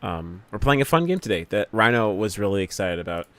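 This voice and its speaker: A man's voice: on the low side, animated, fairly quick, a bit bright. A man hosting a casual livestream who greets viewers and thinks aloud while setting things up on a home computer mic. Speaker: streamer host.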